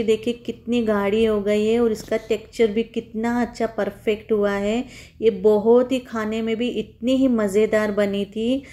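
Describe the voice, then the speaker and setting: A woman's voice: a little high, warm tone. A woman home cook giving a step-by-step recipe voice-over, instructing viewers in a friendly, familiar form of address.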